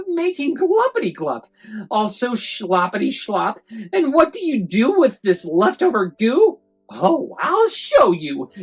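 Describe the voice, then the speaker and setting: A woman voicing an indignant, mournful male creature who scolds and pleads in a strained, croaky storybook voice. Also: high-pitched, voice pushed up, exaggerated voice. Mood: angry, scolding.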